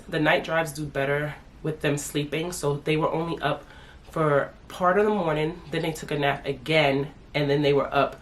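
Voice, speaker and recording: A woman in her thirties chatting casually as a family vlogger, congested from a sinus infection and calling herself "your girl". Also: sits low. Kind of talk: casual chat to camera.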